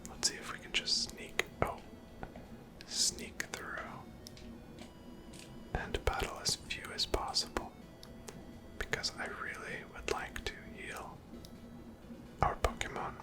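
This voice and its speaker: A person whispering softly and breathily, giving gentle, casual ASMR commentary while playing a video game. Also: slow, pauses often, over loud music. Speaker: host of a gameplay series.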